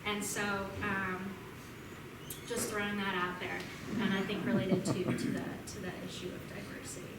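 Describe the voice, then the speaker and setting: A young woman from Vermont giving a casual talk and answering questions, chatty and upbeat, with frequent ums. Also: medium pitch. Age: young adult. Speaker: guest speaker at a club meeting. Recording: echoey room from a distance, noisy.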